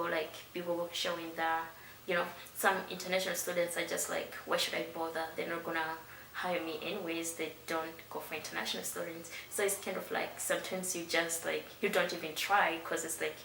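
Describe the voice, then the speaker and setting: Gravelly woman in an echoey room. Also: lowish voice, fairly bright tone. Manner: casual, "like" fillers. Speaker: international student interviewee. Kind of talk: interview answers. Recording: echoey, distant mic with noise.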